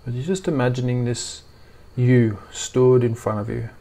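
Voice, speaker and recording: A man leading a guided meditation in a soft, soothing, gently reassuring way. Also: mid-range voice, moderate pace.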